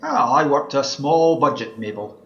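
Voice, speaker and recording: A man's voice: medium pitch, moderate pace, a bit bright, lightly acted. Dull-sounding man playing a wry Scottish wish-granter. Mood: wry, patient. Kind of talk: amateur radio play acting.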